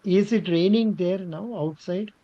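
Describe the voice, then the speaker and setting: A man's voice: sits high, unhurried, warm tone. Instructive Indian man, dull lo-fi sound. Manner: instructive, direct. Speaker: interview coach. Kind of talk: mock interview questioning and advice.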